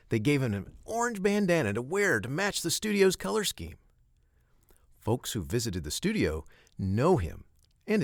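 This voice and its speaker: A man narrating a children's story from a script, with expressive, emphatic phrasing and short pauses. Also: on the high side, no echo, studio quality.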